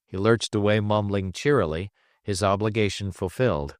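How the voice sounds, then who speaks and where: A man reading fiction aloud as an audiobook narrator, in an expressive, theatrical storytelling manner. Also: on the low side, moderate pace, no room sound, studio quality.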